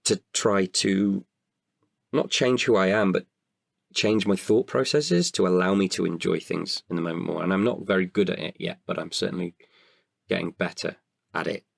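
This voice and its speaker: A man, an actor, talking reflectively about himself in an interview, haltingly and with pauses mid-sentence. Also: deep voice, gravelly, no room sound, clean studio sound. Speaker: actor and guest writer. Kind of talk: reflective personal interview talk.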